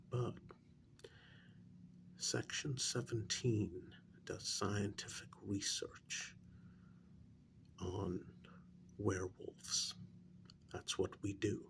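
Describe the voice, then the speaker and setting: A man playing a calm, menacing veteran werewolf agent in a soft ASMR role-play, gravelly and often near a whisper, with deliberate pauses. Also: fairly low pitch, slight background noise, full character voice. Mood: coolly persuasive. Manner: slow, deliberate, pausing between short phrases.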